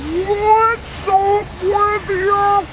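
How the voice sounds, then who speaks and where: A man voicing a huge, demanding alien monster in a cartoon parody, loud and theatrical. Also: extremely high pitch, level tone, drawn-out words, background noise, full character voice. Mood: indignant. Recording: loud music behind the voice.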